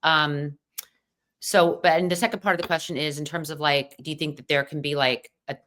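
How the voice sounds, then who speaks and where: Middle-aged woman, deep low voice, chatty guest who talks in loose restarts. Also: steady tone, a little fast, a bit bright. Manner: chatty, lots of fillers and restarts. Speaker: podcast guest. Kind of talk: casual chat.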